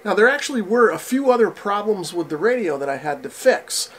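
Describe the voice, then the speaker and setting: Repair tutorial host: American male, forties, higher-than-average pitch, casual explanatory delivery. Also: big pitch swings, fairly quick, a little hiss or hum. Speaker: host of a radio repair channel. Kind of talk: instructional narration of an electronics repair.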